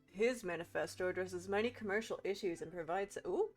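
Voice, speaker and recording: A woman streaming a game playthrough with a fairly low voice. She is playful and sarcastic, switching between reading aloud and wry commentary. Faint game music is behind her. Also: fairly quick. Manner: playful, sarcastic, foul-mouthed.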